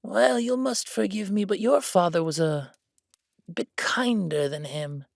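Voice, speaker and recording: A man's voice: sits high, unhurried, creaky, dry recording, studio quality, pitched above the performer's own voice. A man playing a smug, condescending noble envoy with a haughty, mock-polite and theatrical manner in an audio drama.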